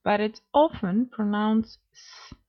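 A woman co-hosting a scripted beginner language lesson podcast, speaking in a clear, measured, instructive way on a slightly dull lo-fi recording. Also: medium pitch. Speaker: language teacher, podcast co-host.

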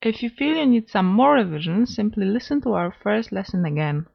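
A woman co-hosting a scripted beginner language lesson podcast, speaking in a clear, measured, instructive way on a slightly dull lo-fi recording. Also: mid-range voice, lively intonation. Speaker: language teacher, podcast co-host.

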